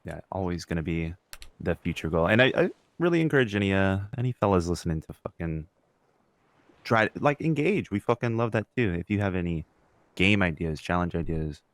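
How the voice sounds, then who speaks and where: A man's voice: deep voice, expressive, brisk, no room sound. A young man co-hosting a podcast, chatting informally and swearing casually. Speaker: podcast co-host; substitute teacher.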